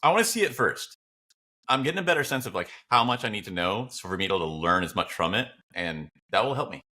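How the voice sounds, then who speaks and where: A man's voice: lowish voice, sing-song, fast talker, bright, forceful tone. An American man bantering casually as a co-host, playful and wry, swearing freely. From United States. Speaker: podcast co-host.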